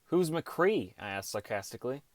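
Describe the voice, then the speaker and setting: A man's voice: medium pitch, sing-song, moderate pace, no echo, lightly acted. An actor playing a downcast teenage boy who narrates his gaming adventure in an understated, wry first-person audiobook style. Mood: reflective narration. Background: music.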